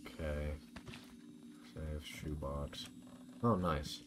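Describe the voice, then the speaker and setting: A man with a deep voice gives casual live commentary as he plays a video game. He talks slowly, swears and thinks aloud, with game ambience clearly behind him. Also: steady tone, noisy background.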